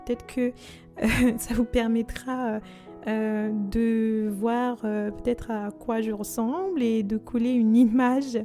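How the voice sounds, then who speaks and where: A woman's voice: on the high side, steady tone, slow pace, dark tone, soft background music. A woman in her twenties, originally from Congo, hosting a faith podcast in an earnest, devout and chatty manner with frequent fillers. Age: 20s. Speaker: podcast host.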